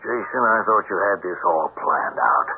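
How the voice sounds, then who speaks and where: Suspicious, jumpy treasure hunter, animated. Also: male voice, low voice, gravelly, exaggerated voice. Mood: irritated. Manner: agitated, halting, distrustful. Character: suspicious, obsessed male treasure-hunting boat owner, uneasy temper. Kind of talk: 1970s radio drama acting. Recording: muffled old radio broadcast, light hiss.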